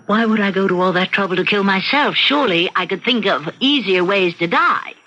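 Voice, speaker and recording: Bright-voiced, defiant housewife. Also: female voice, mid-range voice, animated, fairly quick. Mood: coldly resolved. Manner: argumentative, self-justifying. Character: bored, defiant housewife confessing murderous wishes to her psychiatrist. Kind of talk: old-time radio mystery acting. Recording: muffled vintage radio recording with noise.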